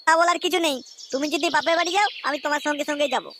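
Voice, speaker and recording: Very high-pitched, foul-mouthed cartoon husband acted by a man. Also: rapid-fire. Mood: argumentative. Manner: crude, swearing, sarcastic grumbling. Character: foul-mouthed, hot-tempered young husband and son-in-law. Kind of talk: comic cartoon dialogue. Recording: noisy track with clicks and chirping birds.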